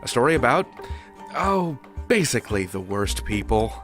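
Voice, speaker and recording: A man with a mid-range voice narrates as a podcast host, with music playing clearly behind him. He is wry and talks straight to the listener in a scripted style. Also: mid-range voice, big pitch swings, moderate pace, a bit bright. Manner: wry, addresses listener directly.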